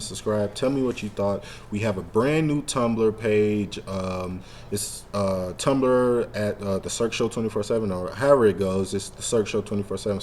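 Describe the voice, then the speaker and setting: A man's voice: fairly low pitch, a little mellow, a little hiss or hum. A man hosting a casual fan discussion, talking in a slangy, rambling, sometimes profane way. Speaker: show host.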